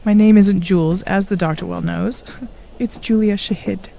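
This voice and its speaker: An actress playing a composed, courteous but firm medical woman in an audio drama, speaking in a measured way. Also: mid-range voice, steady tone, gravelly, warm tone, lightly acted. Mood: mildly reproving. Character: composed, polite, firm female medical professional. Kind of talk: audio drama acting with reflective narration.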